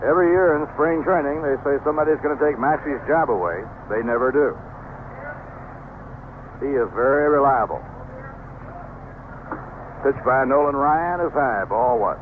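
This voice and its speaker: A man's voice: mid-range voice, moderate pace, pauses often, narrow, tinny audio. A man with an American accent calling a baseball game play by play in live radio commentary. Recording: noisy, band-limited old radio broadcast.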